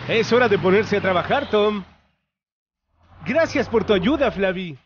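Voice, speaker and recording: A man's voice: high voice, animated, a little slow, muffled recording, a little hiss or hum. A man narrating a preschool cartoon, talking to the characters with encouraging exclamations and questions.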